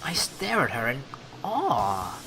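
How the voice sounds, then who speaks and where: A woman streamer reading a game's narration aloud, mixed with casual, expressive commentary. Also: rumbling low, slow pace, faint music behind, low-quality recording. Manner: expressive, animated asides. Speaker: let's play streamer.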